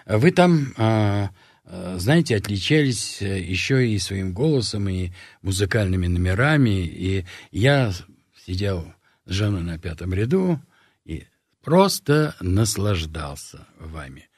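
A man's voice: deep voice, unhurried, slightly rough, no room sound, clean studio sound. A male talk show host asking long, admiring questions of his guest. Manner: lively, praising, asks long meandering questions. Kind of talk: TV interview.

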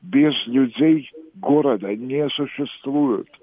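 A man's voice: medium pitch, slow pace. A man calling in to a radio show, speaking at length in an ornate, digressive way with frequent hesitations. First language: Russian. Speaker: radio caller. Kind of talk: call-in radio monologue. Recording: tinny phone line.

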